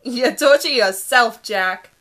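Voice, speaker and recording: A woman's voice: on the high side, lively intonation, sharp tone, voice pushed up. A woman voicing a tough young girl disguised as a newsboy who teases her friends in a storybook reading. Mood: teasing. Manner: teasing, brisk.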